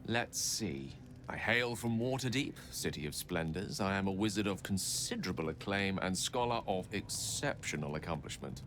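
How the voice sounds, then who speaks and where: A man's voice: low voice, lively intonation, moderate pace, a bit bright, slight background noise. A man voicing an erudite, genial wizard in a video game, speaking in wordy, theatrical and somewhat pompous phrases. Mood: boastful, genial. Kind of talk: video game character acting.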